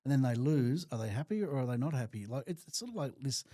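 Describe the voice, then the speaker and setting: A man reasons through his views, often restarting words as he goes. His tone is warm and mellow, and the recording is dry and close. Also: mid-range voice, lively intonation, quick pace, no room sound. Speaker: podcast co-host. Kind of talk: casual sports podcast chat.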